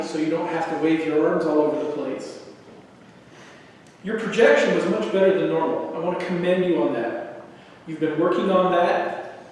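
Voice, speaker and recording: A man's voice: on the high side, recorded from a distance. Tenor man giving feedback in an echoey hall. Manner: measured, encouraging. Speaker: speech evaluator. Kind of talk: spoken feedback.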